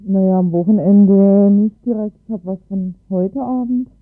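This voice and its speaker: A man's voice: high-pitched, moderate pace, no echo. A man co-hosting a radio news programme, giving reports and announcements. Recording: dry, phone-like lo-fi radio.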